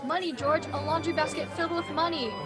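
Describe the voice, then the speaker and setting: A woman playing an elated, excited relative in a 1940s-style radio play. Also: high voice, brisk, a loud crowd, close to an everyday voice. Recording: loud music behind voice.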